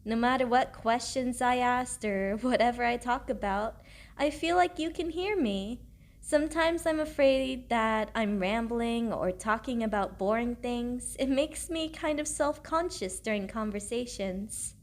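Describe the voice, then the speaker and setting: Woman doing a sweet young girlfriend roleplay, high voice, slow n gentle, earnest, kinda self-conscious, super affectionate. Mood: affectionate, shy. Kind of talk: intimate audio roleplay spoken to the listener.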